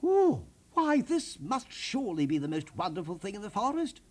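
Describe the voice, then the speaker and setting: A well-bred young storybook prince, voiced by a man, clever and musing. His mannered exclamations swoop widely in a slightly high, husky voice. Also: very animated, normal speed, darkish tone. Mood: amazed.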